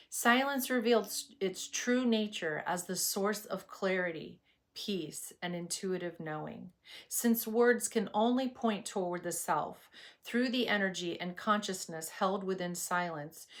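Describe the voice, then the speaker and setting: A woman teaching meditation in a scripted spiritual talk, with a soothing, measured and reverent delivery. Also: fairly low pitch.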